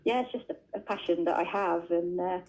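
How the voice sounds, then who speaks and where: A woman's voice: fairly low pitch, fairly quick, a little mellow, low-quality recording. A woman children's author chatting as a radio guest from home, talking freely with lots of fillers and restarts. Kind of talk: casual interview answers. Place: at home, joining remotely. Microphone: remote line into radio studio.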